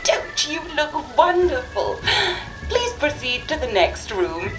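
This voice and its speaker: An actress playing a sugary, officious institutional announcer who gives formal, polite instructions. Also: female voice, high voice, big pitch swings, slow, heavily acted, rough recording. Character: saccharine, officious female announcer at rehabilitation centre. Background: music.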